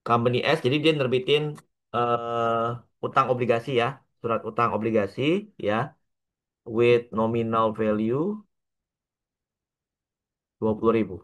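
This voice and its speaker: A man with an Indonesian accent lecturing on a worked example step by step, halting often, mixing in English terms and adding little checking tags. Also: mid-range voice, little pitch movement, fairly bright tone, clear, resonant tone. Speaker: accounting lecturer.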